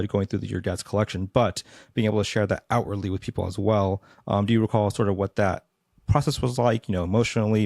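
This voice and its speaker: A man hosting a podcast, asking questions in a relaxed, rambling way full of ums and likes. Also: on the low side, creaky, dry recording, studio quality. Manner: relaxed, filler-heavy, many ums and likes. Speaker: podcast host. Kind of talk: casual podcast interview.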